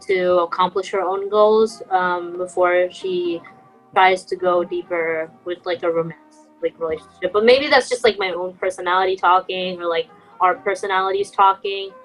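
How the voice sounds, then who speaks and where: A woman's voice: on the low side, steady tone, moderate pace, faint music behind, rough recording. A young woman co-hosting a podcast, speaking informally and earnestly in a casual conversational style. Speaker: podcast co-host.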